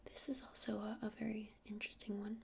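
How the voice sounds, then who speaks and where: A woman's voice: mid-range voice, level tone, fast talker, noisy background, lightly acted. Woman as a whispering, friendly witch shopkeeper. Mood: gentle, helpful. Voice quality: soft breathy whisper. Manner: hesitant, informal explaining. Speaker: ASMR roleplayer. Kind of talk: whispered ASMR roleplay.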